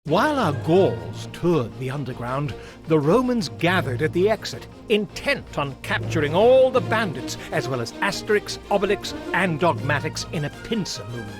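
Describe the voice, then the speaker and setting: A storybook narrator recounting a cartoon game adventure. His voice is fairly high and very expressive, with music underneath. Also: normal speed. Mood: storytelling. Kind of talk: scripted narration.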